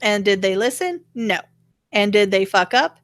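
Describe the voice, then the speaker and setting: A woman's voice: medium pitch, animated, moderate pace, no room sound, studio quality. A woman hosting a casual political chat, explaining her opinions as she goes. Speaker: show host.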